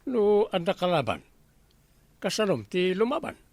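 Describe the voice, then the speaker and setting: A man's voice: high-pitched, sing-song, normal speed, a little mellow. A man with an Ilocano accent acting as a teasing, exasperated village captain in a radio comedy drama. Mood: teasing, challenging. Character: male village captain testing recruits for watchman, bantering, exasperated.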